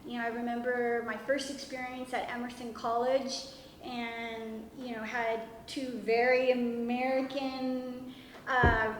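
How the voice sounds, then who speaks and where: A woman whose first language is Spanish speaks in a somewhat high voice, pausing often with ums as she works through her thoughts. The sound is roomy, with a long reverb tail from the hall around her table. Faint background noise sits underneath. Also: level tone. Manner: reflective, halting with many fillers. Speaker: discussion participant. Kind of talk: casual roundtable discussion. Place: room with a table, group seated. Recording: echoey room with long reverb.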